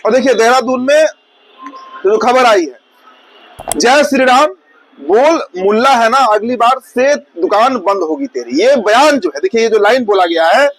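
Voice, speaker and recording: A male news presenter with a strikingly high voice for a man. He speaks conversationally, explaining each item to the audience and restating phrases as he goes. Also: expressive, measured pace, a bit bright, rough recording. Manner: conversational, explanatory, repeats and rephrases points. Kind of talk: informal news commentary addressed to viewers.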